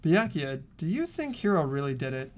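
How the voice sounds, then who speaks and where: An earnest teenage boy from a mystery video game, acted by a man. His voice sits a little high and swings with lively intonation. He recounts events like a young investigator over faint music. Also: normal speed. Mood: questioning. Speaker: voice actor in a fan playthrough. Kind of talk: dramatic game dialogue and recap narration.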